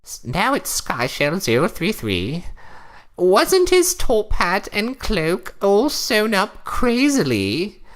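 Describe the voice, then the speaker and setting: A woman's voice: medium pitch, huge pitch swings, slow. A woman playing a prim, bossy old wife giving a theatrical comedic reading of a horror story. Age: older.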